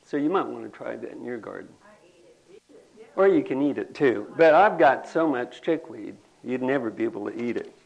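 An older man, telling folksy rambling stories to a gathering and clearing his throat now and then. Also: medium pitch, animated, moderate pace, low-quality recording. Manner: folksy, drawling, says y'all. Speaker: storyteller at a community gathering.

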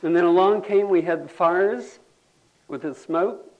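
An older man, telling folksy rambling stories to a gathering and clearing his throat now and then. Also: high-pitched, unhurried, muffled recording. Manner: folksy, drawling, says y'all. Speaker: storyteller at a community gathering.

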